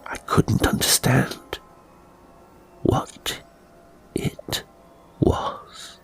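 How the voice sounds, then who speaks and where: A man voicing a tormented English gentleman in an audiobook, evasive and desperate, with a strained, halting intensity. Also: soft background music. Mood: haunted, desperate. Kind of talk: audiobook character acting.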